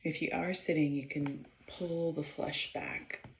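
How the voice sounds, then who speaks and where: A woman leading a guided meditation in a measured, halting way, pausing between short phrases and often clearing her throat. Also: deep voice, steady tone, slight background noise. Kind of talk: slow guided meditation instruction. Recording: roomy, slightly dull sound.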